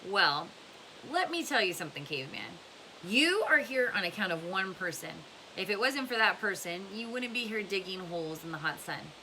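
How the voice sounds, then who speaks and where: Storybook male counselor, read by a woman: earnest, lecturing, pointed, with lively pitch movement. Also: moderate pace, low-quality recording. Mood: stern, lecturing. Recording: clear background hiss.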